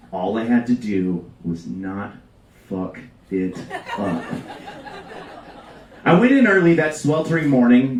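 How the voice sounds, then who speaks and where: A man reading a comedic story aloud to a live audience with a deadpan, deliberate delivery. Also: medium pitch. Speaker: reader at a live storytelling show. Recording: roomy live venue, distant mic.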